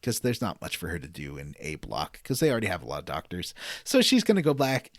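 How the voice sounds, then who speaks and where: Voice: low voice, huge pitch swings, fast, no room sound, studio quality. A podcast co-host giving a casual, comedic recap of a video game story and acting out the characters' lines. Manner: acts out characters' lines in quoted voices.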